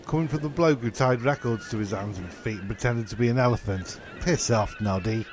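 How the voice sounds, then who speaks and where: A man's voice: medium pitch, brisk, a little hiss or hum, natural voice. A man playing a vain, touchy, sweary castaway in a comic audio drama, trading sarcastic insults. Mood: scornful.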